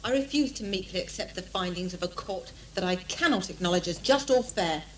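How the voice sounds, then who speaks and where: A woman's voice: on the low side, lively intonation, brisk, natural voice. A woman playing a proud, defiant condemned wife and mother in an amateur audio drama, stern and formally declamatory. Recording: echoey room, faint hum, old cassette.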